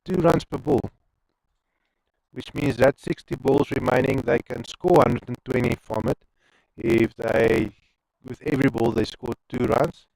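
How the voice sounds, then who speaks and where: A man's voice: medium pitch, measured pace, vocal fry, a little mellow, no room sound, rough recording. A man with an Afrikaans accent giving chatty live commentary on an amateur cricket match, wandering into rambling asides between balls. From South Africa.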